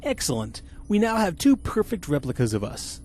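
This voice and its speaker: A man's voice: fairly high pitch, wildly swooping pitch, normal speed, a little mellow. A vain, blustering male spaceship captain in a campy fifties sci-fi radio serial. His delivery swoops dramatically and is full of pompous self-importance, with music playing underneath. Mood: pompous, self-important. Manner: pompous, theatrical.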